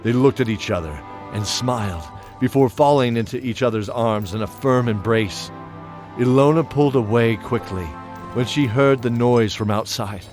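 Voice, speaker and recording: A man giving dramatic scripted narration of a fantasy tale, measured, pausing between short phrases. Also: medium pitch. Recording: loud music under the voice.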